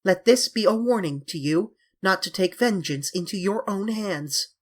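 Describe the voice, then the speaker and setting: Dorian Gray, a man doing a frantic young gentleman. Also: high voice, expressive, unhurried, pitched above the performer's own voice. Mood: stern. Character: young man, desperate, pleading then triumphant. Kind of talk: audiobook character voice.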